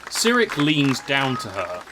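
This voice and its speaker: A man's voice: medium pitch, wildly swooping pitch, unhurried, a loud crowd, heavy background noise. A man narrating a scripted fantasy story in a dramatic storytelling manner.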